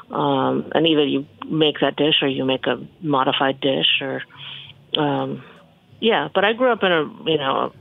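A chef from Michigan talking casually as an interview guest, relaxed and rambling, with many ums and restarts. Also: mid-range voice, vocal fry, no room sound. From Michigan, USA. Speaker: chef and cookbook author, guest. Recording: tinny phone line, dry.

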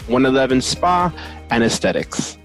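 A man's voice: medium pitch, big pitch swings, moderate pace, poor audio. Man reading a sponsor ad, music behind. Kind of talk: scripted ad read.

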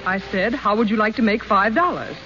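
A woman's voice: medium pitch, a little fast, lo-fi sound, over music, close to an everyday voice. An actress playing a spoiled, imperious young society woman, demanding and snappish, in 1930s radio drama style. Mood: condescending. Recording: very noisy, band-limited 1930s radio recording.

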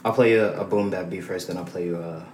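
A young man, a rapper, chatting casually with a relaxed, sit-back delivery. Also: low voice, fast talker, reverberant, a little hiss or hum. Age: young adult. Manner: laid-back delivery that sits back. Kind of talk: casual chat. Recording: music clearly playing behind.

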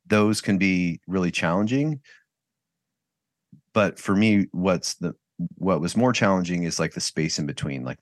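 A man's voice: deep voice, no room sound, clean studio sound. A man co-hosting a podcast, talking candidly in casual chat, swearing freely and laughing often. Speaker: podcast co-host.

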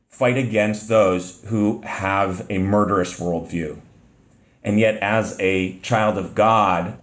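A man speaking in an earnest, measured, reflective way as a podcast guest. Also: lowish voice, roomy sound. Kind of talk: podcast interview.

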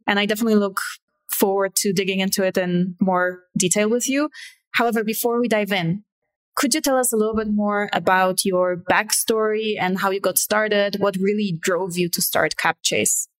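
A woman hosting a business podcast, delivering a scripted intro and interview questions in a polished, measured way. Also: mid-range voice, poor audio. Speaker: podcast host.

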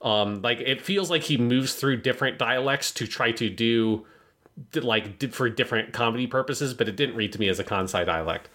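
Bright-voiced man rambling through a podcast review. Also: medium pitch, normal speed. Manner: rambling, hesitant, frequently swearing. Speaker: podcast co-host. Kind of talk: casual in-depth review discussion.

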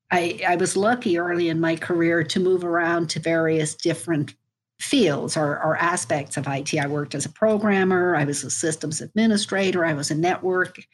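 A woman in her fifties with an Irish accent talks through her career in a measured, reflective way, often pausing to restart a thought. Her voice sits low for a woman. A faint hiss of noise runs under the recording. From Ireland. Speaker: university IT executive, guest. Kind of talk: conversational interview.

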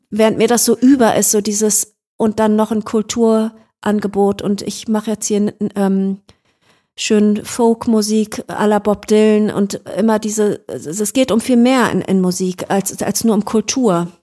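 A woman's voice: mid-range voice, steady tone, moderate pace, dark tone. A woman musician talking about her work as an interview guest, thoughtful and searching for words, with frequent fillers and restarts. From Germany. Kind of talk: reflective interview talk.

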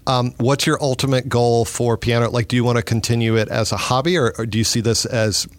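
A man's voice: medium pitch, little pitch movement, brisk, no room sound. Chatty male podcast host. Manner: chatty, rambling, many fillers and restarts. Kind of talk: casual podcast interview.

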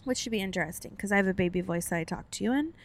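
A woman's voice: medium pitch, racing. A young woman co-hosting a podcast, chatting casually and a bit long-windedly, with frequent laughs and "like" fillers. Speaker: podcast co-host. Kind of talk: casual chat.

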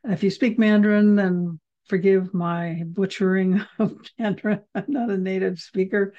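A woman's voice: mid-range voice, fairly even intonation, normal speed. A woman hosting a podcast and teaching a class on herbal remedies, chatty and rambling with many fillers, recorded over a video call. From United States. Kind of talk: casual teaching talk. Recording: slightly dull video call audio.

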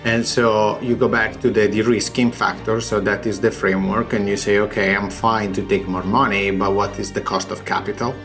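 Gravelly Italian-accented man. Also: fairly low pitch, little pitch movement, normal speed, hardly any pauses, fairly bright tone, soft background music. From Italy. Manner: explanatory, works through numbers step by step. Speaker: startup founder. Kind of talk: recorded interview.

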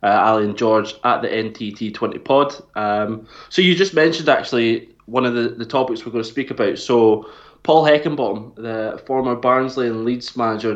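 A man hosting a football podcast, friendly and relaxed, calling his guest mate. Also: medium pitch. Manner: friendly, laughs easily, says mate. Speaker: podcast host. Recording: slightly dull audio.

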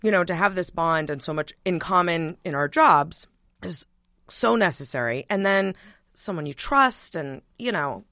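A woman's voice: on the low side, expressive, normal speed, pushed and bright, dry recording. A woman analyst as a podcast guest in a studio, earnest and affirming in casual chat. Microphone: studio mic.

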